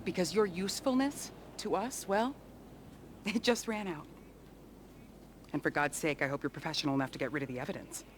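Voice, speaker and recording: A hard-bitten spymaster played by a woman in a crime game, her voice slightly low. She is sardonic and talks down to whoever listens, with faint noise behind her. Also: normal speed, long pauses, close to an everyday voice. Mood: contemptuous. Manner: sardonic, condescending. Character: scornful, hard-bitten female intelligence agency boss. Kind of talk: video game cutscene acting.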